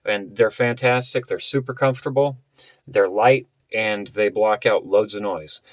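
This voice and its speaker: A man vlogger explaining gear in a casual, unscripted way, halting and often rephrasing as he goes. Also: on the low side, no room sound. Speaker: vlogger presenting a budget gear setup.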